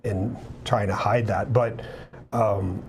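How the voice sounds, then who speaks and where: A man speaking as an interview guest about business abroad, measured, with many "um"s and "you know"s. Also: lowish voice, steady tone, a little hiss or hum. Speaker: guest with business experience in Japan. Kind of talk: conversational interview.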